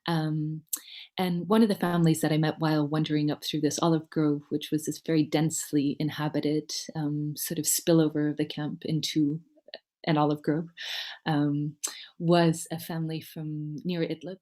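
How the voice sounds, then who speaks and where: Low-voiced, even-toned woman telling personal stories. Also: normal speed. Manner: reflective, hesitant, carefully worded. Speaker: guest speaker, humanitarian worker. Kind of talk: personal storytelling talk.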